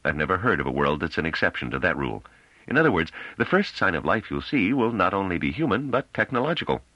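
A man's voice: low voice, big pitch swings, fast talker, sharp tone, no echo, voice pushed up, full character voice. A man voicing an assured male space pilot who explains things, in an audiobook on a dull recording.

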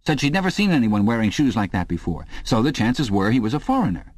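A man's voice: medium pitch, very animated, fast talker, voice pushed up. A man doing a blustering, chummy middle-aged bloke. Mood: hearty. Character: hearty, sweaty, boorishly keen middle-aged man.

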